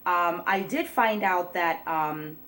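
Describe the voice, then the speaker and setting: A young woman from Kenya talking earnestly to her viewers in a casual, reflective vlog, with many pauses and restarts. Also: fairly low pitch, a bit bright. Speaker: vlogger. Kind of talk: casual vlog talk to camera.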